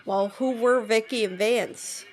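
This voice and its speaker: A woman's voice: a little high, a little slow, soft background music. A woman playing a video game, reacting casually and informally in character. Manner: informal, sweary. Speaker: game player.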